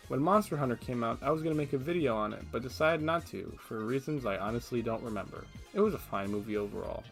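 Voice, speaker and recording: Guy doing a sarcastic opinionated video essay, kinda casual, bit of music under it. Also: mid-range voice. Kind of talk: casual scripted commentary. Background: background music.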